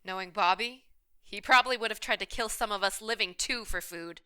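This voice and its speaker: A woman's voice: medium pitch, fairly quick, bright, forceful tone, clean tone, natural voice. A woman playing a bossy, blunt, hard-nosed restaurant manager in a radio play. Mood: argumentative. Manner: blunt, assertive.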